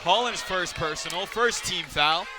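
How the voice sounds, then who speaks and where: Guy w a pretty high voice doing bball play-by-play on radio, short clipped calls, noisy gym behind him. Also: a little slow. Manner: clipped running description, rising with the action. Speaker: radio basketball play-by-play commentator. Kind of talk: live sports commentary. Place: indoor basketball arena, courtside. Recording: noisy arena with court sounds behind.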